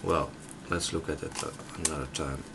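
Dutch guy doing a casual unboxing, deep voice, clear background noise on the camera mic. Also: fairly even intonation, normal speed. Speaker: tech reviewer. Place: indoors at a desk in a room.

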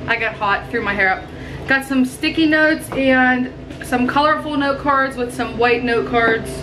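A chatty young woman with a fairly high voice walks through her grocery haul in a home kitchen. She talks straight into a handheld camera held close, with a faint hiss underneath. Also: measured pace. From Pennsylvania. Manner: chatty, bubbly, teasing. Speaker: vlogger. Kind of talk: casual grocery haul vlog. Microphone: handheld camera at arm's length.

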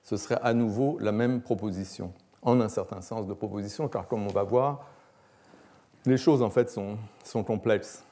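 Mellow-voiced professor in his sixties. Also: on the low side, normal speed. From France. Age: 60s. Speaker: philosophy professor. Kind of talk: academic lecture. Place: lecture hall, at a lectern.

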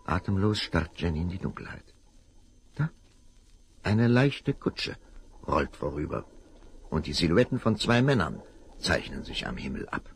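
A man narrating a 1970s radio play in a measured, suspenseful way, from a vinyl recording. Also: low voice, big pitch swings, gravelly. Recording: vinyl rip, faint noise.

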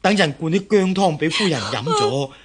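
An actor playing a loyal, anxious family servant with a hesitant, deferential manner in a Cantonese radio drama. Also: male voice, sits high, animated, normal speed, a little hiss or hum. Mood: reassuring. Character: loyal, anxious male family servant bringing grim news.

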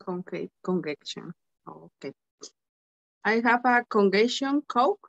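Adult woman learner, Spanish native, halting reading, slow and muffled. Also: medium pitch, lively intonation, creaky. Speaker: English student. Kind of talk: reading aloud in an online English lesson.

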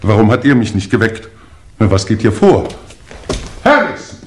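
An actor playing a pompous, shaken English lord in a 1950s German radio crime play, theatrical, with hesitant pauses. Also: male voice, lowish voice, very animated. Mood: dismayed, indignant. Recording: old noisy 1950s radio recording.

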